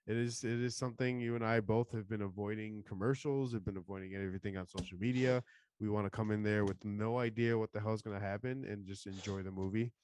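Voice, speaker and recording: A man's voice: on the low side, a little mellow, dry recording. A man hosting a casual podcast, upbeat and promotional, losing his voice after being sick. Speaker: podcast host.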